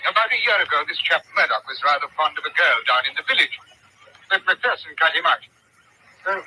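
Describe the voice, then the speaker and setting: An actor playing an anxious, earnest schoolmaster who speaks haltingly in an old radio drama. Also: male voice, high-pitched, pushed and bright, full character voice, rough recording. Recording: old radio broadcast with faint noise.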